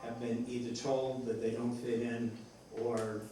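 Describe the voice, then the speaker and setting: A man speaking as a playwright in a rambling discussion, haltingly searching for words and correcting himself. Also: medium pitch, flat delivery, dark tone. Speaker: playwright discussing his play. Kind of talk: rambling post-show discussion. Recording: echoey room, distant mic, faint noise.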